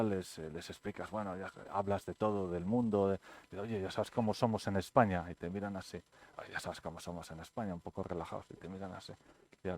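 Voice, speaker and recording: A middle-aged man from Spain, the author, telling anecdotes at his book presentation and acting out the dialogue. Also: deep voice. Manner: lively storyteller, acts out quoted dialogue.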